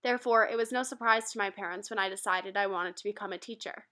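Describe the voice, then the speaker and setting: A young woman from Rhode Island, a university student, reading a prepared school presentation aloud in a steady, careful way. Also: mid-range voice, fast talker. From Rhode Island, USA. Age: young adult.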